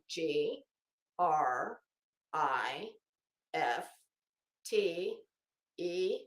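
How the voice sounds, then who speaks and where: A woman with a fairly high voice talks slowly in an echoey room. She delivers opinionated, off-the-cuff commentary like an online host, sarcastic and taunting, leaning theatrically on her words. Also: fairly bright tone. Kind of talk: unscripted opinionated commentary rant.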